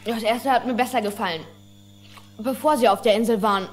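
A woman voicing a frank, bright young boy survivor in film dialogue, matter-of-fact and slightly hesitant. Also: on the high side, brisk, slight background noise, lightly acted. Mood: frank, curious. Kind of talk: German film dubbing dialogue.

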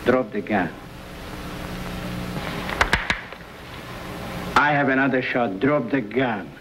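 An earnest, anxious immigrant settler in a vintage TV western, played by a man. His voice sits at a medium pitch, and he speaks as a devoted, worried husband over a clearly noisy old soundtrack. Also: moderate pace, natural voice. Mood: alarmed. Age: middle-aged. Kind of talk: 1950s television western acting.

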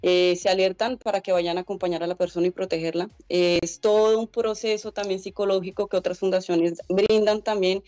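A woman's voice: mid-range voice, steady tone, a little fast, few pauses, a little mellow, dry recording. A young Colombian woman answering interview questions over a video call from a room at home, earnest and explanatory, with many "eh" and "pues" fillers. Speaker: guest, head of a migrant organization. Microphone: laptop webcam on a video call.

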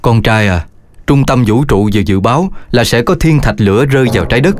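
Low lively grown-up cartoon figures. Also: female voice, a little fast, noisy background. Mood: urgent. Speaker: voice actor. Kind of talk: cartoon dubbing. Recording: cartoon with music underneath.

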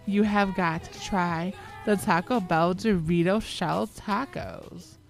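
A woman's voice: fairly low pitch, takes it slowly. A woman delivering scripted commercial voice-over narration, as on an advertising reel or radio spot. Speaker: voice-over artist and radio host. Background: music bed behind the voice.

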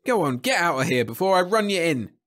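Brief: storybook cop, put on by a male reader; growling, brusque, bossy, raised above an ordinary speaking pitch. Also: lively intonation, measured pace, a bit bright, exaggerated voice. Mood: gruff. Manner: brusque, authoritative. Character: gruff, bossy, brawny policeman throwing his weight around.

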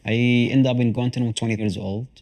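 A man in his thirties giving an interview in a small apartment, speaking haltingly and searching for words. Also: medium pitch, steady tone, a little mellow. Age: 30s. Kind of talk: emotional interview.

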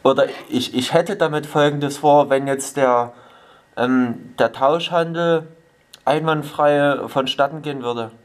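A man voicing a polite, eccentric elderly prank caller in a stilted, formal, deadpan manner. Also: a little high, measured pace, natural voice. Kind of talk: improvised prank phone call. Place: small home kitchen, indoors.

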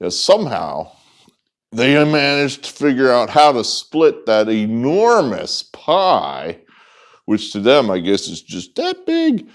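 A middle-aged man giving opinionated, off-the-cuff sports commentary in an emphatic, halting and at times sarcastic way. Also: mid-range voice, huge pitch swings. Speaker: sports vlogger, host. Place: indoors, small wood-walled barn room with whiteboards.

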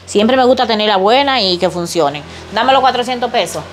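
A middle-aged woman acting as a stubborn, greedy neighbor who flatly insists on being paid. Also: fairly high pitch, normal speed, faint music behind, natural voice. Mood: demanding. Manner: blunt, insistent, unbending. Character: greedy stubborn female neighbor demanding payment.

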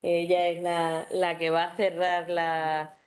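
A woman's voice: lowish voice, monotone, slow pace. A woman moderating a panel at a conference, addressing speakers familiarly. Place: conference hall, seated at a panel table.

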